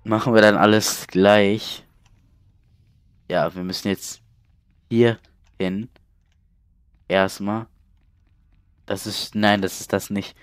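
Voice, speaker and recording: A man's voice: lowish voice, steady tone, slow, long pauses, clean tone, no echo. A man with a cold giving casual, chatty let's play commentary through a headset, reading out game text along the way. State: stuffy cold.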